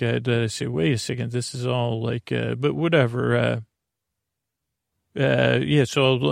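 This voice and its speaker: A man with a gravelly, creaky voice hosting a bedtime podcast, rambling haltingly and often trailing off mid-thought. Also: medium pitch, no echo, clean studio sound. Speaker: podcast host.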